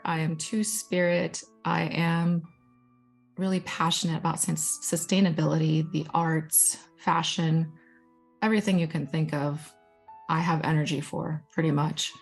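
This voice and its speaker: A young woman from Guatemala, a Spanish speaker from birth, talks through her artwork in a chatty, enthusiastic way. Her voice sits a little low. Soft music plays faintly behind her. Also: fairly even intonation, unhurried, creaky. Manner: chatty and enthusiastic, self-deprecating asides. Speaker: digital storytelling manager. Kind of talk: informal presentation showing her artwork.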